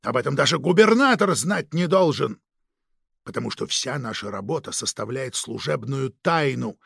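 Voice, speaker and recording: A man's voice: on the high side, big pitch swings, moderate pace, vocal fry, no room sound, studio quality, heavily acted. A man voicing a domineering, suspicious police detective chief who interrogates and gives orders in a dramatic audiobook. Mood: angry, stern. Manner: commanding, interrogating, barking orders.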